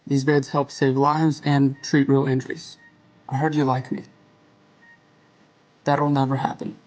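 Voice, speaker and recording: An actor playing a cold, clinical, blunt brain surgeon in an audio drama, with an unemotional manner. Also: male voice, medium pitch, dark tone, close to an everyday voice.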